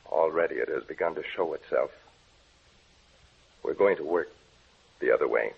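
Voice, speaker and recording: A tense young scientist in an old radio play, really low husky voice, tinny old broadcast. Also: male voice, normal speed, heavily acted. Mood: grim. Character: troubled young male research scientist. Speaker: research scientist. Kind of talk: 1940s radio acting.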